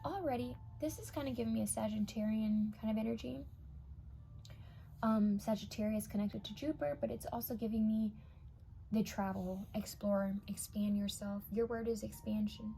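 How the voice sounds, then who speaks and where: A young woman with a gravelly, mellow, slightly raspy voice talks straight to her viewers through a tarot reading, slipping into soft whispers as she studies each card. A faint hiss sits under the voice. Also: mid-range voice, fairly even intonation, moderate pace, low-quality recording. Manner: intuitive, addresses viewers directly, drops to whispers while reading cards.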